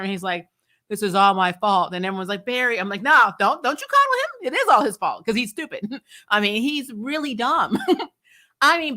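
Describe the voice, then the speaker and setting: Bright-voiced, sarcastic woman chatting bluntly. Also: a little high. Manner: animated, sarcastic, exasperated, blunt; casual swearing. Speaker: host of a TV show review channel. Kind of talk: casual spoiler recap chat, reading and answering viewer comments.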